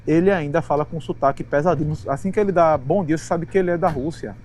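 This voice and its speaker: A man's voice: a little high, darkish tone. Brazilian guy on a footy panel, talks loose n slangy, stumbles and restarts, bit of background hum. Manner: chatty, halting restarts, slangy. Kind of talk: casual sports talk show chat.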